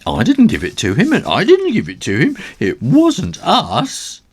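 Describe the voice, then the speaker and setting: A man voicing a big, mean, dim-witted bully cat in a children's story, loud and blustering. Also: fairly high pitch, huge pitch swings, unhurried, breathy edge, voice pushed up, full character voice. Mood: indignant.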